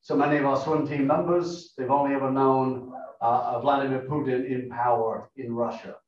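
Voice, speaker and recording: A man with a non-American accent gives a measured, explanatory talk, often pausing on fillers. His voice sits in the middle range and sounds distant from the mic. The room is very echoey and the sound is dull and lo-fi. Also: mid-range voice, level tone, darkish tone. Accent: non-American English. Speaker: guest speaker, professor. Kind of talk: lecture talk with slides. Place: meeting room.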